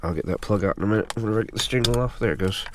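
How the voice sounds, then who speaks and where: A congested man hosting a car repair channel, giving a relaxed, casual how-to walkthrough full of fillers while working on a car. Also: lowish voice, very fast, background noise. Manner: relaxed, filler-heavy explaining.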